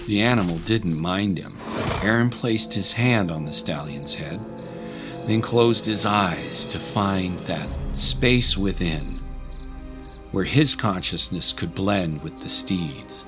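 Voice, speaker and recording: Male narrator, deep voice, slow measured pace, dramatic scripted storytelling, music behind. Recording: music under the voice.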